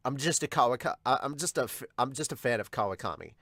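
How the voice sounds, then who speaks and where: A man's voice: mid-range voice, lively intonation, brisk, background noise. A man hosting a podcast, giving casual reaction commentary. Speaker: podcast host reacting to a manga chapter. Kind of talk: casual reaction commentary mixed with read-aloud dubbing.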